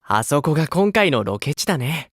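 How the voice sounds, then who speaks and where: An actor playing a gentle, playful grown man, a caring older teammate in an idol game, with a coaxing manner. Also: on the high side, very animated, moderate pace, no echo, clean studio sound. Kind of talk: Japanese mobile game voice acting.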